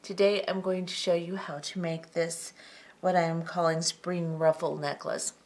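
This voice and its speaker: A middle-aged woman hosting a step-by-step beading tutorial, talking calmly and methodically with frequent ums. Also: fairly low pitch, normal speed, a little hiss or hum. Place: tabletop craft workspace, indoors.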